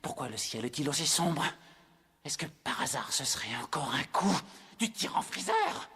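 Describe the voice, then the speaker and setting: Gravelly, agitated cartoon villain. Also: male voice, on the high side, big pitch swings, normal speed, exaggerated voice. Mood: alarmed, suspicious. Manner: agitated, theatrical monologue. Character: proud, anxious, scheming male villain muttering alone. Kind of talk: French anime dub acting. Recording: loud soundtrack music over dialogue.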